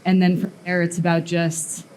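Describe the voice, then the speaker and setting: A woman's voice: fairly low pitch, monotone, moderate pace, darkish tone, clean tone, poor audio. Woman talking through a live metalwork demo. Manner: casual, explanatory, thinks aloud with fillers and restarts. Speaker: blacksmith and sculptor giving a live demo. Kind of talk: live workshop demonstration to an audience. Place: metal workshop at a smithing event.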